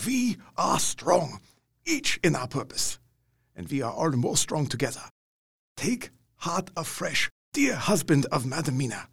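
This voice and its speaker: An actor playing Van Helsing, an elderly vampire hunter, speaking in broken, grandly declaimed English. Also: male voice, a little high, sing-song, measured pace, creaky, fairly bright tone, slightly rough, full character voice. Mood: resolute. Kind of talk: audio drama acting.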